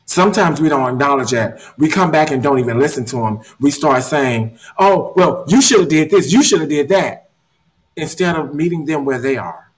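Earnest animated man talking self-help. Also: a little high, moderate pace, rough recording. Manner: earnest, animated, repeats words while thinking. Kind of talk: casual motivational discussion.

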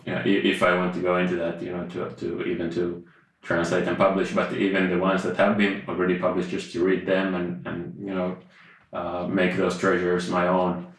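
Man, author in interview; low monotone, halting delivery, very echoey room. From Finland. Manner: halting, many restarts and fillers. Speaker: author and researcher. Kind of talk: reflective interview talk.

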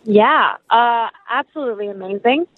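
A woman's voice: on the high side, moderate pace, no room sound. A woman from North Carolina speaking as an interview guest over a remote call. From North Carolina, United States. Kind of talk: interview conversation. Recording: tinny, narrow phone-like line.

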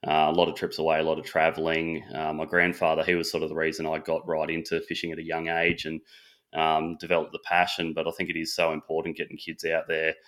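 A man's voice: rumbling low, completely flat delivery, fast talker, a bit bright. A man hosting a podcast, asking questions and agreeing with his guest in a friendly, casual way. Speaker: podcast host. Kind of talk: interview questions and casual chat.

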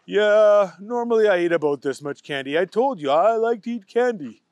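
Man doing a dopey candy-loving guy, hesitant, a bit high, close dry sound. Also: animated, moderate pace, darkish tone, dry recording, dull audio. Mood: puzzled.

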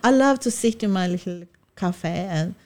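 A woman's voice: fairly low pitch, moderate pace, darkish tone. A woman from Sweden with a Swedish accent, a guest in a casual interview, talking enthusiastically with frequent restarts and small laughs. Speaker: guest, film director and Swedish teacher.

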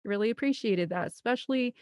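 A woman's voice: on the high side, a little fast, darkish tone, dry recording, studio quality. A woman in her thirties with a Midwestern American accent, chatting casually as an interview guest and telling personal stories in a rambling, colloquial way. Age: 30s. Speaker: podcast guest, film composer and director.

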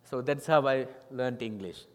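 Indian pastor in a reverberant church hall. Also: male voice, mid-range voice, measured pace. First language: Tamil. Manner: earnest storytelling, simple English with some non-native grammar. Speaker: guest pastor. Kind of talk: testimony sermon. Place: church sanctuary.

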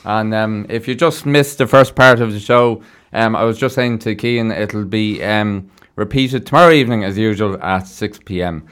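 A man's voice: fairly low pitch, normal speed, few pauses, a bit bright, clean tone. An Irish man hosting a casual live radio interview in a studio. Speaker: radio show host.

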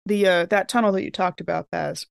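A woman's voice: fairly low pitch, steady tone, brisk, vocal fry, no echo. Chatty woman at a game table, dry sound. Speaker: tabletop roleplaying game player. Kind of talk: casual game table chat.

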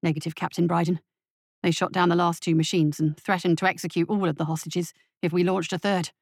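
A woman's voice: lowish voice, little pitch movement, fairly quick, vocal fry, dry recording, studio quality, pitched below the performer's own voice. A woman voicing a dutiful male police deputy in an audiobook, grim, deferential and matter-of-fact. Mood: grim, reporting. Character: dutiful, pragmatic male police deputy reporting to his captain.

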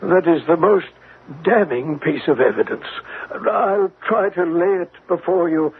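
An actor playing a distraught, remorseful elderly English banker in a radio drama, with an agitated, halting manner. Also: male voice, fairly high pitch, vocal fry, breathy edge, heavily acted. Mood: rueful, agitated. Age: older. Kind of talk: classic radio drama acting. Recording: tinny, band-limited old radio, faint hiss.

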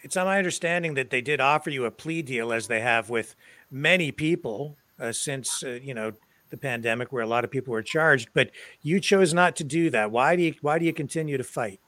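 A man's voice: medium pitch, a little fast, pushed and bright, no echo. A man hosting an online interview, asking questions hesitantly with many "uh, you know" fillers. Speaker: host. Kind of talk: casual interview.

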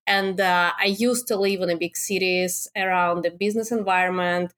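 A woman from Russia with a Russian accent, speaking halting non-native English as a guest in a conversational interview. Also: lowish voice, steady tone, fairly bright tone. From Moscow, Russia. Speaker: podcast guest, business coach.

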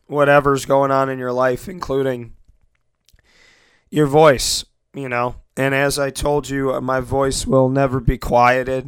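A man's voice: medium pitch, smooth, no room sound, studio quality. A man hosting his own sports talk show while losing his voice, speaking earnestly and gratefully with many restarts. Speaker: sports talk radio host. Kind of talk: solo internet radio show monologue.

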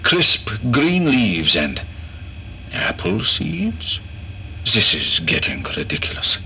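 A man's voice: lowish voice, wildly swooping pitch, slow, gravelly, pushed and bright, slight background noise, full character voice. A man playing an eccentric, rational doctor in a horror audio drama, speaking formally and emphatically. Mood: baffled, exasperated. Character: eccentric male doctor, certain and rational, keeping a log.

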